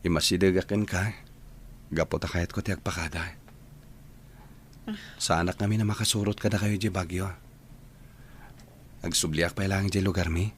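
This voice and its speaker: An actor playing a gentle, lovelorn young man in a radio drama, with a Filipino accent. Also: low voice, steady tone, normal speed, long pauses, a little hiss or hum, lightly acted. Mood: sad, apologetic. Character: Alfred, sad, gentle young man giving up love. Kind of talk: Ilocano radio drama acting.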